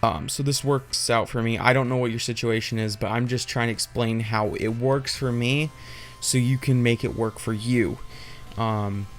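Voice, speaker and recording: A man's voice: medium pitch, steady tone, moderate pace, soft background music. A man hosting a casual, unscripted tutorial, explaining in an informal, rambling and hesitant way. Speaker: tutorial host.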